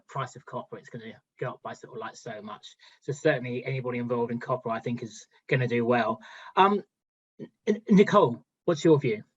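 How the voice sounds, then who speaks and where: Man moderating over dull call audio. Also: medium pitch. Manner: loose, chatty questions with fillers. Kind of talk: online panel moderation.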